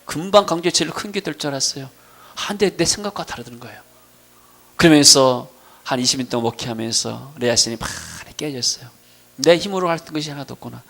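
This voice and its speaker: A middle-aged man with a Jeolla accent from Gwangju preaches a sermon with personal testimony, swinging between emphatic declarations and quieter storytelling. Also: medium pitch, creaky. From Gwangju region, South Korea. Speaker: pastor preaching.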